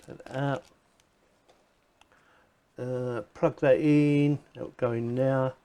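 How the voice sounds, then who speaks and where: A man's voice: medium pitch, fairly even intonation, takes it slowly, warm tone, clear, resonant tone. A man presenting a casual hands-on gadget unboxing, chatting informally and thinking aloud as he goes. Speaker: video presenter reviewing gadgets.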